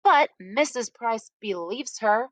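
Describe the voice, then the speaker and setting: A woman narrates a first-person story as a read-aloud. Her voice sits a little high and swings widely in pitch, with deliberate, dramatic phrasing. The sound is dry and close. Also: animated, fairly bright tone, no room sound.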